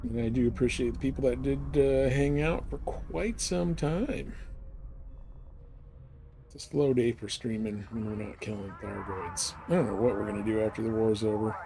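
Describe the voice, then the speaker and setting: A man's voice: mid-range voice, moderate pace, gravelly, warm tone. A man giving casual live gameplay commentary with a dry, wry manner. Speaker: game live streamer. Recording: noisy game stream.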